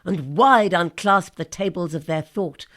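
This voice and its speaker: A woman's voice: on the low side, a little slow, lightly acted. A woman playing a sly, scornful Greek strategist, declaiming with bite in a Shakespearean audio drama.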